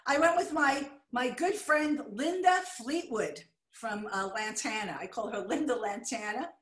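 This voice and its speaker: An older American woman giving a chatty, excitable slideshow lecture on a video call, now and then apologizing when the slides skip. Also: fairly high pitch, unhurried. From United States. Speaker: art deco lecturer. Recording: echoey room.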